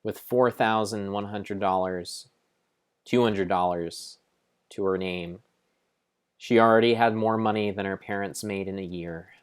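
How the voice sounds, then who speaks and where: Deep-voiced man in theatrical storytelling mode. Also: steady tone, smooth. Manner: theatrical, deliberate, drawn-out phrasing. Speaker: streamer narrating a game as a story. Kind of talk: improvised dramatic storybook-style narration of gameplay.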